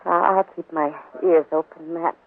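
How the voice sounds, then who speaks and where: A woman's voice: low-pitched, fairly even intonation, moderate pace, gravelly. An actress playing a frank, defiant Old West saloon woman in a 1950s radio western, blunt and plain-spoken. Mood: confiding, concerned. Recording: muffled, narrow 1950s radio recording.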